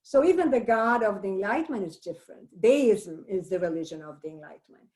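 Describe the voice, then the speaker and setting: A woman professor with a Brazilian accent talks through a text on a video call. She explains at length, often pausing on fillers and restarting her sentences. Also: medium pitch, lively intonation, darkish tone. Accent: Brazilian Portuguese. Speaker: professor leading a book discussion. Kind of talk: online book discussion lecture.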